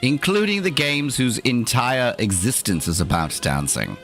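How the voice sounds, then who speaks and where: A man's voice: mid-range voice, big pitch swings, measured pace, fairly bright tone, soft background music. A man hosting an in-character livestream, talking theatrically in a put-on accent with dry, opinionated asides. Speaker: streamer host.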